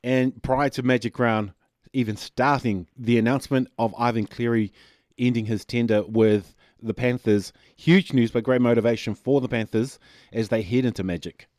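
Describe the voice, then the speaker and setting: A man's voice: medium pitch, fairly even intonation, normal speed, darkish tone, no room sound. A man hosts a radio sports show in a dry, close studio sound. He sets up each topic with short prompts and keeps the conversation moving. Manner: steers the talk, brief prompts and topic setups. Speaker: radio host.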